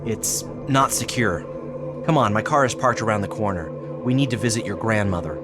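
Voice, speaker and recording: An actor playing an evasive, urgent male doctor in an audio drama, halting and insistent. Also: lowish voice, music in the background, close to an everyday voice. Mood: urgent, secretive.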